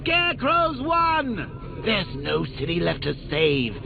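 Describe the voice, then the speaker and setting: A man's voice: high voice, wildly swooping pitch, takes it slowly, vocal fry, full character voice. An actor playing a sadistic, cackling male clown villain who taunts the hero with theatrical mockery in video game voice lines. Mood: mocking. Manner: mocking, theatrical, sing-song taunts and cackles. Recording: tinny lo-fi game audio with loud noise.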